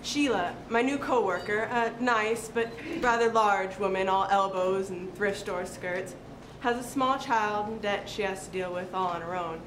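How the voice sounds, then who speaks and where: A woman's voice: medium pitch, unhurried. A woman giving a dramatic recitation of a short story to listeners. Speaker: reader at a literary recitation. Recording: echoey room with clear background noise.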